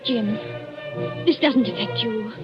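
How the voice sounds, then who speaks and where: A woman playing an earnest, restrained wife in a 1940s radio melodrama, with a husky voice and measured delivery. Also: on the high side. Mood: pleading, restrained. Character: earnest, restrained wife torn between lover and husband. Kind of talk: 1940s radio drama acting. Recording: muffled old radio recording with music.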